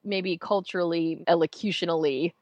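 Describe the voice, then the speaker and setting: A woman voice actor from the United States, chatting casually in an interview with quick, self-mocking asides. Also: fairly low pitch, fairly even intonation, no echo, highs cut off. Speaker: voice actor guest.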